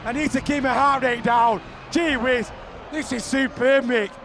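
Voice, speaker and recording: A man giving excited play-by-play commentary on a rugby league match, often shouting over the action. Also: extremely high pitch, unhurried. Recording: archive broadcast with crowd noise.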